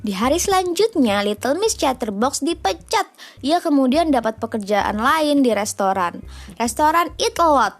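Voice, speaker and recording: High-voiced Indonesian mother reading a bedtime story. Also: moderate pace, faint music behind. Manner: expressive, informal asides to child. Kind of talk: children's storybook narration.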